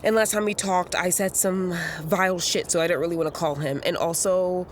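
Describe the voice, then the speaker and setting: A young American woman playing a restless, self-searching young woman in an audio drama, halting and conversational. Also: fairly low pitch, steady tone, slight background noise, close to an everyday voice. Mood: awkward, rambling. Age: young adult. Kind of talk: naturalistic audio drama acting.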